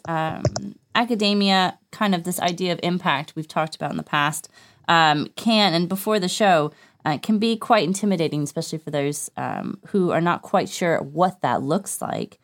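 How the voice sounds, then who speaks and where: A woman hosting a podcast interview, reading a scripted opener and asking questions in a measured way with hesitant fillers. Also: lowish voice. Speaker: podcast host.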